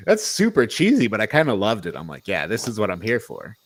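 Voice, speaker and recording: A man's voice: fairly low pitch, wildly swooping pitch, fairly quick. Casual, slangy man reviewing a film. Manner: informal, slangy, opinionated. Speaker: podcast host leading a film review.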